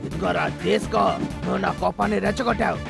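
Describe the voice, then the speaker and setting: A voice actor playing a gruff, bossy, protective big-brother bear with a gravelly voice in a dubbed children's cartoon. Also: medium pitch, quick pace, over loud music. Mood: angry.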